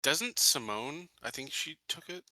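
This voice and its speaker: A man's voice: on the high side, lively intonation, unhurried, bright, forceful tone, no echo. A man talking casually as a player in a tabletop roleplaying game.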